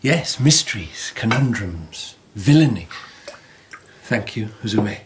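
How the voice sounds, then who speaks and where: An actor playing a playful, musing Japanese emperor in a radio drama, with a leisurely, teasing manner. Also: male voice, on the low side, expressive, slow, slight background noise.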